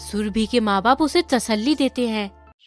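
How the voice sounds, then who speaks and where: A woman narrator reads a scripted horror cartoon story. Her voice is high-pitched, and music plays clearly behind her. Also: moderate pace.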